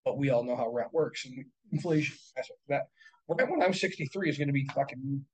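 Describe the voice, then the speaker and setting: A man in his thirties, a real estate investor, talking casually and bluntly, swearing and working through numbers out loud. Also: mid-range voice, animated, fairly quick, slightly rough, low-quality recording. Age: 30s. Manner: profane, blunt, works through numbers aloud. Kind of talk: casual podcast chat.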